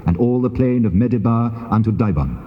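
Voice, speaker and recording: A man playing God in a dramatized scripture reading, solemn and commanding, speaking in a stately, measured, declamatory way. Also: medium pitch, animated, lightly acted. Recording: muffled tape, long reverberant hall effect.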